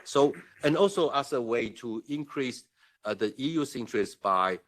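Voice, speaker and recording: A man's voice: medium pitch, lively intonation, moderate pace, fairly bright tone. A man from Taiwan, speaking as a diplomatic representative at a conference, earnest and emphatic with frequent restarts. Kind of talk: conference speech. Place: conference room at a parliament.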